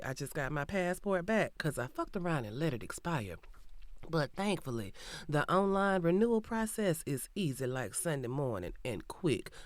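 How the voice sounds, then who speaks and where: A woman's voice: low voice, no echo. A Southern-accented woman in her forties hosts her show from a booth, talking with sassy, slang-heavy ease. A cold leaves her a little nasal. The sound is close and dry, with no room around her voice. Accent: Southern American. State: sick with a cold, slightly nasal, coughing. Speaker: podcast host. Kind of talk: casual true crime podcast intro. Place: recording booth.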